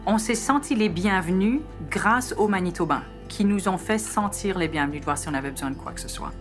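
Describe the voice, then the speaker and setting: A woman's voice: mid-range voice, a little fast, music in the background. A woman from Belgium, a trade director, speaking in a poised, professional way in a testimonial interview.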